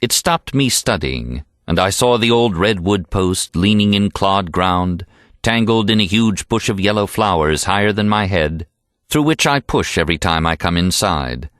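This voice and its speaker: Low-pitched American man narrating an audiobook. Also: lively intonation, normal speed, a bit bright, no echo, studio quality. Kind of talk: scripted literary narration, reading a novel aloud.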